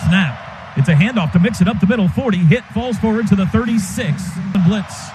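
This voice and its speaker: A man doing live play-by-play radio commentary of a football game from a stadium booth, in rapid clipped calls that surge on big plays. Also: high voice, music in the background. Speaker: play-by-play announcer. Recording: noisy live stadium broadcast.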